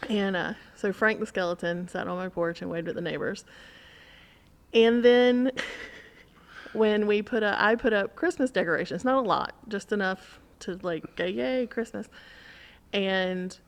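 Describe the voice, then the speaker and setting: A woman's voice: medium pitch, unhurried. A middle-aged American woman chatting casually and telling anecdotes as a podcast co-host. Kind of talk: casual chat, anecdotal storytelling.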